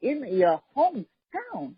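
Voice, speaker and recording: A woman hosting a podcast in casual conversation, talking haltingly with frequent pauses and fillers. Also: high voice, wildly swooping pitch, no echo. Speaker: podcast host. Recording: dull, dry sound.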